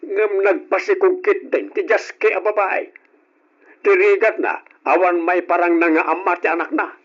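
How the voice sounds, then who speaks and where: Give me a bitter, disappointed father with a high voice, from a dull old radio recording. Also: level tone, unhurried. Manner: reproachful. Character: stern disappointed father scolding his daughter. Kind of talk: old radio drama acting.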